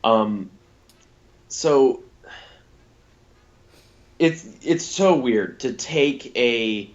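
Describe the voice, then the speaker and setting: A man co-hosting a podcast, giving blunt, halting opinions in a casual critical chat and swearing freely. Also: mid-range voice, muffled recording. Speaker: podcast co-host.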